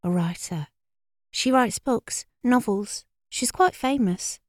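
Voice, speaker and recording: A woman's voice: medium pitch, fast talker, a little mellow, no echo, clean studio sound, pitched above the performer's own voice, natural voice. A woman voicing a smug schoolgirl gossip who talks with a self-important, knowing air in a storybook reading. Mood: smug, conspiratorial.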